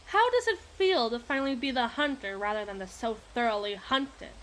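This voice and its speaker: A woman's voice: on the high side, expressive, normal speed, a bit bright, slight background noise, rough recording. A woman playing a possessive, imperious evil queen in an ASMR roleplay, speaking in formal, teasing, theatrical diction. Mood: teasing, sardonic.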